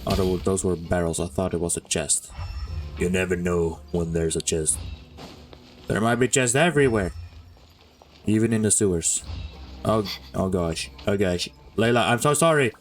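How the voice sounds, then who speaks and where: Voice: sits low, moderate pace, pauses often. A game streamer making casual, playful commentary, gushing and easily flustered while chatting to the characters. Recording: game music behind the voice.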